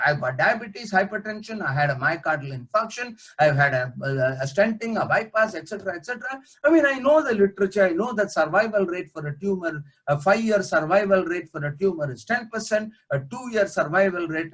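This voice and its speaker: A man's voice: high voice, a bit bright. An older man who is a neurosurgeon, giving an earnest webinar lecture on slides and stressing his points in short listed phrases.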